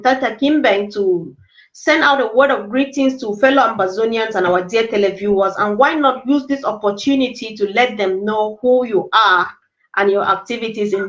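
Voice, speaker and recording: A woman's voice: on the high side, a bit bright, lo-fi sound. A woman hosting an interview, speaking in a formal, courteous way. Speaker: host.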